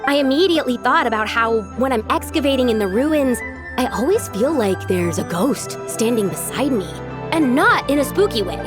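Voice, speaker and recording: A woman's voice: on the high side, lively intonation, moderate pace, close to an everyday voice. A woman playing an earnest, eager young village woman in a video game, speaking expressively. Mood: enthusiastic. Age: young adult. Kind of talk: video game character acting. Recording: loud music behind the voice. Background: game music.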